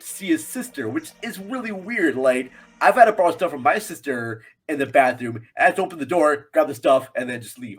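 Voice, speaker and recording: Rambling, jokey man chatting about film. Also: mid-range voice. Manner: rambling, jokey, self-deprecating. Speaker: podcast guest, film reviewer. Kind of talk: casual film discussion.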